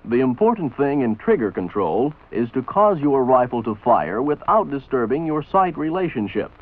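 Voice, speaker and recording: Male American instructional narrator, measured and commanding, animated intonation, phone-like vintage film sound. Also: mid-range voice, a little hiss or hum. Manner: authoritative, measured, didactic. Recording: tinny band-limited old film soundtrack. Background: voice-over for an old military training film.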